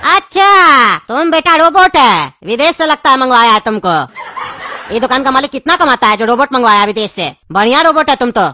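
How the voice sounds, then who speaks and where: A man voicing a cheeky, mouthy tempo driver in a cartoon, brash and mocking, with a Bihari Hindi accent and a pitched-up voice. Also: lively intonation, brisk, bright, forceful tone, a little hiss or hum, heavily acted.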